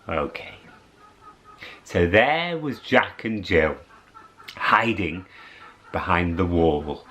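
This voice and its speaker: A middle-aged Englishman reads a children's tale in an unhurried, drawn-out way. He swings between hushed whispers and sudden high, loud lines to build the drama. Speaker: storyteller. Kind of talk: children's storytelling.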